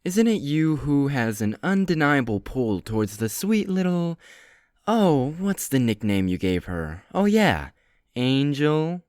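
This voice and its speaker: A man plays a sly young vampire who mocks his rival in a playful way. His smooth, resonant voice swings widely in pitch, and it sounds dry and close to the mic. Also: very animated, measured pace, darkish tone, dry recording. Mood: teasing. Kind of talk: amateur roleplay voice acting.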